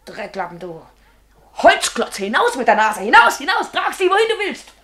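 An actress playing a domineering, shrewish wife in a German radio play, indignant and scolding with scornful insults. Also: female voice, sits high, huge pitch swings, normal speed, sharp tone, exaggerated voice. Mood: furious.

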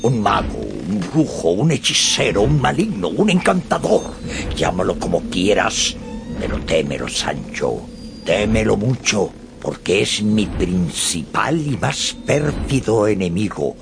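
An actor playing a deluded, love-struck old knight errant with pompous, flowery declamation in a radio drama. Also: male voice, low voice, animated, unhurried, creaky, heavily acted. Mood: dramatic warning. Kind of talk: Spanish-language radio drama acting. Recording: studio radio drama with background music.

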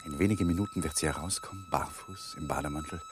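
A man's voice: extremely high pitch, huge pitch swings, quick pace, over music, full character voice. An actor playing an awkward, intrusive young male lawyer in a German radio crime drama, courteous and hesitant. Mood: brooding inner monologue. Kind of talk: German radio crime drama, dialogue and hushed inner monologue.

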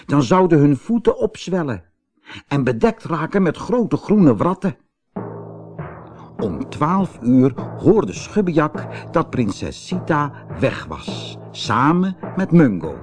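A man narrating a children's fairy-tale audiobook in an expressive, dramatic way. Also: a little high, slow pace, music in the background. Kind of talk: scripted children's audiobook storytelling.

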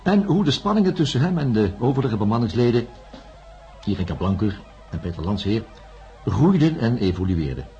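A man playing an authoritative hospital doctor in a radio drama, calming but probing. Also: fairly low pitch, a little fast, lo-fi sound, soft background music. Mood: measured, reflective. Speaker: actor playing a psychiatrist-narrator. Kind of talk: radio drama acting and first-person narration.

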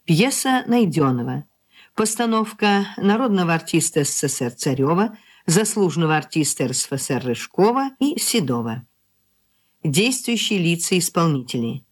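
A woman with a fairly low voice announces a radio play. Her delivery is formal and scripted, in the manner of reading out a cast list. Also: very animated, moderate pace.

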